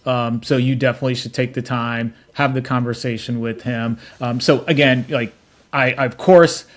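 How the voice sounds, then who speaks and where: A middle-aged man answering interview questions as a tech executive, telling his story in an informal, affable way with frequent restarts. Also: mid-range voice. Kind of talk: casual conversational storytelling.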